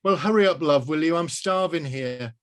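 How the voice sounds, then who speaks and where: A man voicing an impatient mother, brisk and informal in a storybook reading. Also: fairly high pitch, voice pushed up, close to an everyday voice. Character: impatient working-class mum.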